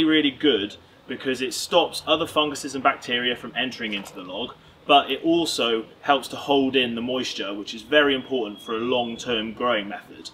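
Bright upbeat British man teaching. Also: medium pitch, slight background noise. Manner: upbeat, clear instructional delivery. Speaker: presenter. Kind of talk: step-by-step tutorial. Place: outdoors in a garden and woods.

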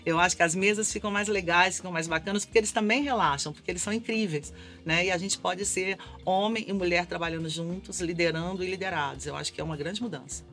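A woman's voice: lowish voice, quick pace, few pauses, soft background music. A middle-aged woman from Belo Horizonte with a Mineiro accent, an advertising executive in a seated studio interview. She talks energetically, often ending with a questioning "né?". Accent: Mineiro Brazilian Portuguese. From Belo Horizonte, Brazil. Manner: energetic, talks with her hands, frequent "né?". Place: small indoor studio set.

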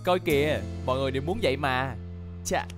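A man voicing an earnest, easily flustered young boy hero in a cartoon dub, expressive and chatty. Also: medium pitch, quick pace, loud music behind the voice, lightly acted. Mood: friendly. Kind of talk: Vietnamese cartoon dubbing.

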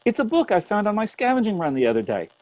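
A man's voice: sits high, huge pitch swings, quick pace, a little mellow, no echo. A man acting in a radio drama as an earnest, thoughtful radio host after a zombie apocalypse. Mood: eager, then apologetic. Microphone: close studio mic on boom arm.

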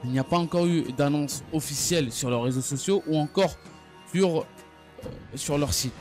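A man giving an unscripted gaming news recap, speaking haltingly and often stumbling or repeating his phrases. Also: fairly high pitch, a little mellow. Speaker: gaming video creator. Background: background music.

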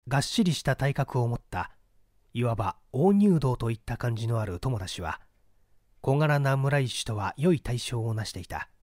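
A man's voice: medium pitch, animated, normal speed, darkish tone, no echo, clean studio sound. A man reading classic mystery fiction aloud as a trained stage actor, in dramatic scripted narration. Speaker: audiobook reader and stage actor.

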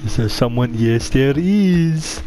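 A man's voice: mid-range voice, expressive, takes it slowly, mellow, a little hiss or hum. A man voicing a bumbling, foul-mouthed killer devoted to his mother, talking in stilted broken English while commenting on his gameplay. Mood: grumbling, narrating. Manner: broken English, dropped articles, stilted phrasing. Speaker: gamer playing a horror game in character.

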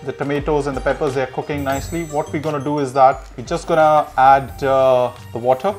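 A male chef in his thirties walks through a recipe in a demonstration kitchen. His voice is medium in pitch, and light music plays behind it with some sizzling from the pan. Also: fairly even intonation, fairly quick, a little mellow. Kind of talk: cooking demonstration.

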